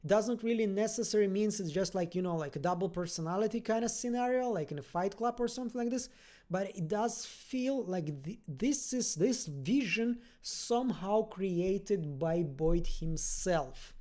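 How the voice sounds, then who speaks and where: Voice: medium pitch, expressive, moderate pace, warm tone. A livestream host from Ukraine and Israel, chatting casually and reading out comments in a friendly, rambling way, often searching for words. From born in Russian-speaking Ukraine, raised from age ten in Israel. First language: Russian. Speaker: host of a fan review livestream. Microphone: computer-connected microphone on a livestream.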